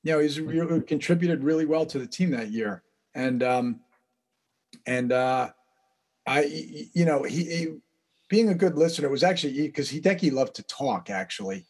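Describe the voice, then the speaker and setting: A man as a podcast guest, telling long stories in a relaxed, rambling way with frequent laughs. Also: on the high side, normal speed, husky. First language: English. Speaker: guest, baseball interpreter. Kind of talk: casual storytelling interview.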